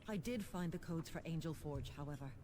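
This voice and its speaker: A woman playing a composed, determined government administrator in a sci-fi video game, formal and crisp with dry irony. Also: low-pitched, a little fast, mellow, a little hiss or hum, lightly acted. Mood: wry, frustrated. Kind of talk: scripted video game acting.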